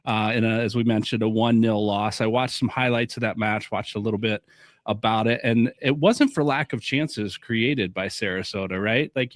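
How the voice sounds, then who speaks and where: A man's voice: medium pitch, no echo, clean studio sound. A man hosting a soccer fan podcast, upbeat and talkative, moving from a scripted intro to friendly chat. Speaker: podcast host.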